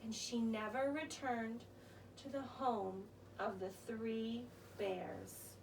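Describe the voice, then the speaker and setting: A woman narrating a children's story as an expressive, theatrical storyteller. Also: a little high, takes it slowly, vocal fry. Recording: distant mic with faint noise.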